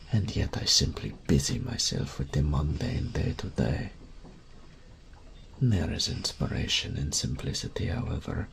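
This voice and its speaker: Through a noticeable layer of noise comes an elderly master tailor, with an extremely deep, heavily creaking voice. He is courteous and proud of his craft, speaking slowly and formally as a wistful shopkeeper in a fantasy audio roleplay. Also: full character voice. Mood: apologetic, wistful. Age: older. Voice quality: gravelly, breathy old voice. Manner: formal, deferential, unhurried, savouring words.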